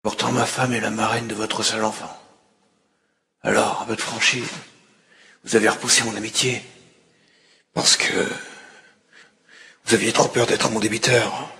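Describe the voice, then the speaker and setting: A man playing a proud, reproachful mafia godfather in a measured way, in a film fan dub. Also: fairly low pitch, little pitch movement, vocal fry, bright, forceful tone, full character voice. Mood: reproachful, wounded pride.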